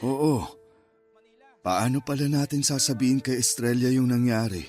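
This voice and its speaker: Guy playing a steady filipino lolo in radio drama, average pitch, somber n consoling, light music under him. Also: natural voice. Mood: resolute, gentle. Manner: measured, consoling. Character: steady elderly grandfather, consoling his grieving wife. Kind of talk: Tagalog radio drama acting.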